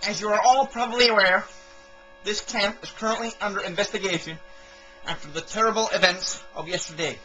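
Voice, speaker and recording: An officious army captain, played by a man, with a high, bright voice. He announces in formal, measured phrases, as in a homemade military radio play, with music behind him. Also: poor audio. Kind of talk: amateur audio drama acting.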